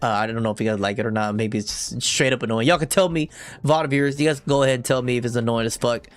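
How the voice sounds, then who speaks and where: Young man, casual gaming streamer, loose slangy chat, mid-pitched. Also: steady tone, fast, no room sound, soft background music. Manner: rambling, slangy, reads dialogue in a deep whisper. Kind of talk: casual live-stream chat, reading game text aloud.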